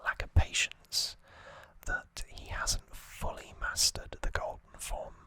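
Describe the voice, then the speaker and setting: An ASMR host speaking in a soft, breathy, unvoiced whisper, explaining things in a gentle, hesitant way as in a relaxed recap. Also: slow pace.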